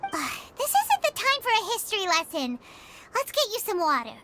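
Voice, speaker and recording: A woman voicing a tiny, excitable, bossy but caring childlike fairy companion in a video game, with a squeaky, exclamatory delivery. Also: normal speed, a little hiss or hum, heavily acted. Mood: exasperated. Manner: exclamatory, expressive. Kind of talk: English video game voice acting. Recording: music behind the voice.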